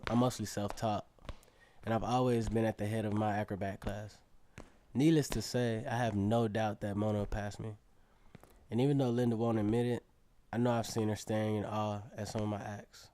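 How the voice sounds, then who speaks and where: A man's voice: lowish voice, mellow, slight background noise, close to an everyday voice. An actor playing a cocky, dreamy teenage circus acrobat who narrates his own story in a casual, self-assured way for a radio drama. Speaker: narrator and lead character. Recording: music playing behind the voice. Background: instrumental music.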